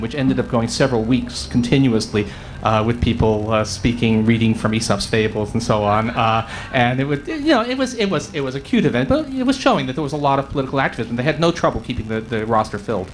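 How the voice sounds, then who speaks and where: A middle-aged man on a panel, wry and quick, with many ums, speaking into a table mic in a large tent. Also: mid-range voice, a little hiss or hum. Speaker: panelist economist. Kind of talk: panel discussion answers. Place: large tent at an outdoor event. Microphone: table mic on a stand.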